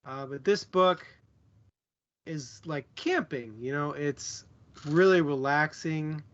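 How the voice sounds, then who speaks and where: Slow-talking man with a higher voice, slightly muffled. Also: a bit bright. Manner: halting, thinks aloud in short phrases. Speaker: book club show co-host. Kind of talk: casual book discussion chat.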